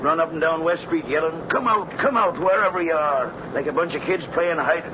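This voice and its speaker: An actor playing an exasperated, sarcastic, tough police sergeant with an impatient manner in a 1940s radio drama. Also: male voice, on the high side, fairly quick, lightly acted. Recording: muffled 1944 radio recording, clear hiss.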